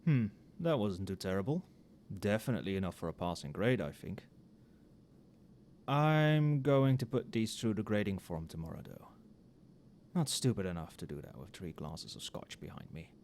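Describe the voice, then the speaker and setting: A man acts out an overworked wizard professor, a little tipsy late at night, in a soft ASMR roleplay. The voice is lowish and muttering, with musing, wry asides that move freely up and down in pitch. There is a faint noise behind it. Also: very animated, normal speed, creaky.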